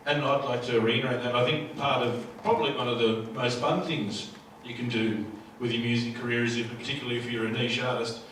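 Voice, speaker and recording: Heard from off mic in a very echoey room with faint noise, a man gives conversational panel advice. His voice is mid-pitched and moves little in tone. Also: level tone, brisk, hardly any pauses, fairly bright tone, distant mic. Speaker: music industry panellist.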